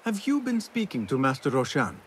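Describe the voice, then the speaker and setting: An actor playing an earnest, deferential young assassin apprentice in a video game cutscene. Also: male voice, mid-range voice, sing-song, fairly quick, a little hiss or hum, natural voice. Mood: respectful, curious.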